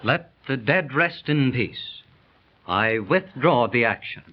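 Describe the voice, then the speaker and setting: A man's voice: medium pitch, lively intonation, slow. An actor playing an earnest, yielding man in 1930s radio style. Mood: solemn, yielding. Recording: muffled 1930s radio recording.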